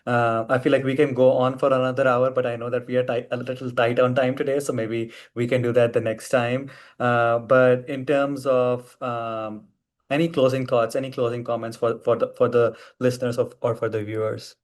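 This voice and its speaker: A man with a medium-pitched voice and little melodic movement hosts a podcast interview. He sounds appreciative and conversational, haltingly repeating words to stress them. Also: level tone. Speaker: podcast host.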